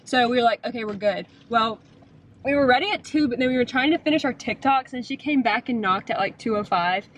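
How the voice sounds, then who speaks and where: A woman in her twenties, chatting casually into a handheld camera as she vlogs from a car and a hotel room, telling stories with quick asides. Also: a little high, rough recording. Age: 20s. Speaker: vlogger. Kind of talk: casual vlog chat. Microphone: handheld camera at arm's length.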